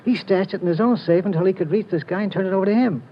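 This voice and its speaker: An actor playing an eager, streetwise sidekick in a 1940s radio drama, with excited, slangy chatter. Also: male voice, high-pitched, racing, lightly acted. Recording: dull lo-fi old radio with faint hiss.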